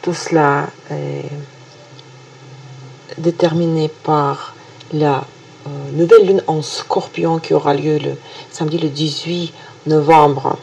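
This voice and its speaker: A woman with a Russian accent, a native Russian speaker, presenting a weekly horoscope video in a halting way full of hesitations and restarts. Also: low voice, little pitch movement, gravelly, a little mellow, low-quality recording. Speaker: astrologer.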